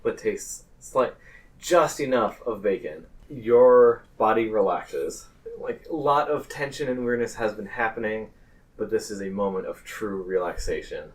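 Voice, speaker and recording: A man improvising narration as game master of a tabletop game, pausing hesitantly mid-phrase. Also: lowish voice, expressive, recorded from a distance.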